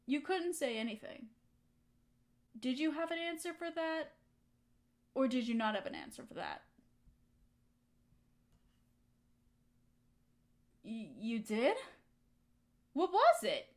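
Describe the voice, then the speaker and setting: A woman acting a hurt young woman softening toward her best friend, in an intimate roleplay audio drama, emotional and halting. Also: sits high, sing-song. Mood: wounded, probing.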